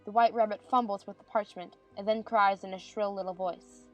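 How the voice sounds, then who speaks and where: A woman gives scripted audiobook narration, reading stage directions for a story. Her pitch is medium, and music plays faintly behind her. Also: fairly even intonation, a little fast.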